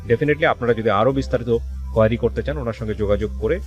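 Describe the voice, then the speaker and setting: A man with a Bangladeshi accent hosting a radio show, speaking in a measured way with hesitant pauses as he interviews and addresses listeners. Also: mid-range voice, muffled recording. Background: music under the voice.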